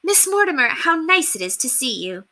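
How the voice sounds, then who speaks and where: Woman playing an earnest, bright-voiced young governess. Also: high voice, sing-song, normal speed, pitched above the performer's own voice, heavily acted. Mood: eager. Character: earnest, modest young governess, eager and proper.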